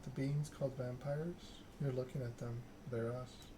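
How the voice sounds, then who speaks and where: Man reading as an aloof, condescending vampire lady, even-toned. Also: medium pitch, background noise, close to an everyday voice. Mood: wry, patient. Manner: condescending, formal, deliberate. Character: aloof, scheming female vampire patron, condescending and formal. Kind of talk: ASMR reading of game dialogue.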